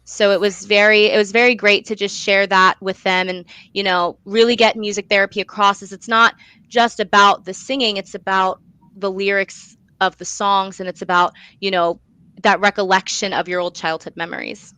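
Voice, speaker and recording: Bright, clear young woman talking enthusiastically. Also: medium pitch, little pitch movement, normal speed, no room sound, low-quality recording. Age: young adult. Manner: earnest, effusive. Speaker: guest, student playwright. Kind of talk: enthusiastic interview talk.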